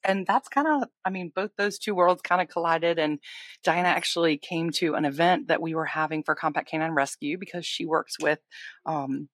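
Low-voiced chatty woman co-host. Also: moderate pace, fairly bright tone, no room sound, clean studio sound. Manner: friendly, chatty, laughing. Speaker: podcast co-host, lender, rescue founder. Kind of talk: casual chat.

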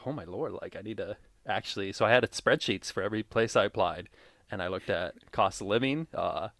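A man's voice: lowish voice, a little fast, vocal fry, a bit bright, no echo, studio quality. A young man co-hosting a podcast, chatting casually and drifting into tangents with frequent laughs. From Minnesota. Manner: rambling, tangent-prone, laughs often. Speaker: podcast co-host. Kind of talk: casual chat.

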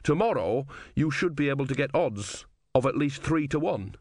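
A man's voice: medium pitch, animated, darkish tone, dry recording, clean studio sound, pitched above the performer's own voice, close to an everyday voice. A man voicing a plump, earnest young man who speaks carefully as if repeating what he heard. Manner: careful, halting, repeating words.

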